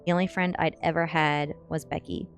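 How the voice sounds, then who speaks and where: ASMR narrator, female: low register, restrained intonation, measured storytelling read. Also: little pitch movement, faint music behind. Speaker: streamer reading a novel aloud.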